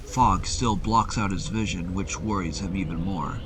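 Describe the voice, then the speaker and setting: A man's voice: deep voice, moderate pace, noisy background. A man narrating a scripted adventure audio drama as its storyteller. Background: loud music.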